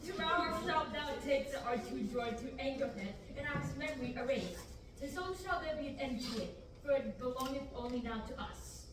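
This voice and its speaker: A young woman reading the part of a stern middle-aged farmer uncle in Shakespearean verse at a student table reading, steady and measured. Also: sits high, lightly acted. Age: young adult. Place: large classroom, indoors. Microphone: far camera. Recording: echoey room, from far off, background hiss.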